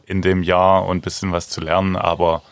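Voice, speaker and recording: A man in his thirties answering interview questions in a relaxed, hesitant way with many fillers. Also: low voice, fairly quick, no echo. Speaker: handball player, guest.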